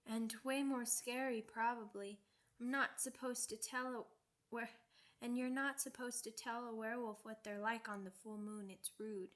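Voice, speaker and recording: A woman's voice: on the high side, fairly even intonation, moderate pace, fairly bright tone, natural voice. A woman acting a young werewolf pup girl in an ASMR roleplay, timid, earnest and sweet, with a hesitant, childlike way of talking. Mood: anxious, trusting, then pained, scared. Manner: hesitant, simple childlike wording with stammered repeats.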